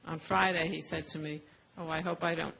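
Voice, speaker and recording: A woman's voice: low voice, fairly even intonation, fairly quick, creaky, bright, forceful tone, slight background noise, low-quality recording. A woman on a discussion panel, speaking reflectively and personally with some fillers.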